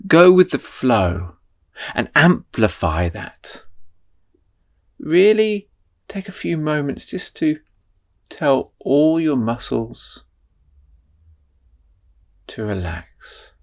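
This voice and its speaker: A man leading a guided meditation in a slow, soothing, drawn-out way. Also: on the high side, sing-song.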